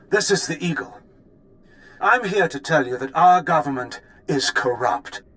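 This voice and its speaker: Higher-pitched, righteous rebel orator. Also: male voice, fairly bright tone, low-quality recording. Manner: oratorical, deliberate, grave. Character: defiant, righteous male rebel leader addressing an empire. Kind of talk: video game broadcast speech.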